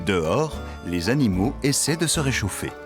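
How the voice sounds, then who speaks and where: A man's voice: lowish voice, big pitch swings, moderate pace, over music. A man reading scripted narration for a children's cartoon.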